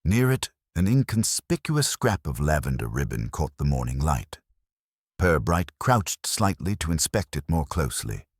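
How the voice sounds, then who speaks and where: A man reading a scripted mystery story in a measured, formal storytelling manner. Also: extremely low pitch, big pitch swings, a little mellow, breathy edge, no echo, clean studio sound. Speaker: audiobook narrator.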